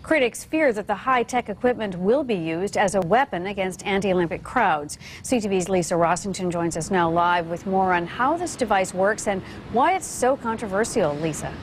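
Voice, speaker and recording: A woman's voice: medium pitch, expressive, moderate pace, hardly any pauses, a little hiss or hum. A woman presenting broadcast news as an anchor.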